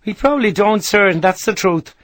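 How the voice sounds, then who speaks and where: A man's voice: high-pitched, expressive, brisk, a bit bright, dry recording, pitched above the performer's own voice. A man voicing a big, blunt Irish rifleman who talks in salty soldier's slang, with an Irish accent. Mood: wry. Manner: gruff, salty soldier's talk.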